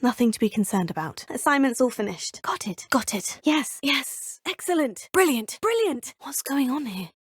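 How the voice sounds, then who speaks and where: A woman's voice: high-pitched, sing-song, normal speed, few pauses, husky, dry recording, clean studio sound, heavily acted. A polite young witch student. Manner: polite, formal phrasing. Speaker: player character, a student. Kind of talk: game voice lines.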